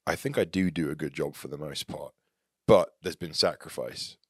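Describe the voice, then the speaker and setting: A man's voice: extremely low pitch, normal speed, husky, no echo, clean studio sound. An English man in his thirties, a podcast guest talking candidly and reflectively in a casual interview. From England. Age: 30s.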